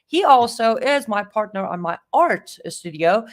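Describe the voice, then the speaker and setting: A woman with a Middle Eastern accent co-hosting a live stream, talking in a lively, emphatic way. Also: fairly high pitch, a little slow. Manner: animated, talkative, emphatic. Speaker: co-host. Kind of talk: casual live-stream commentary.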